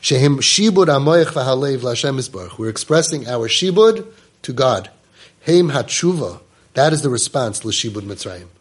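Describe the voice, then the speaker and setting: Husky-voiced rabbi giving an animated class. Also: male voice, mid-range voice, moderate pace. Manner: animated, mixes Hebrew and Yiddish words into English. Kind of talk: Torah class lecture.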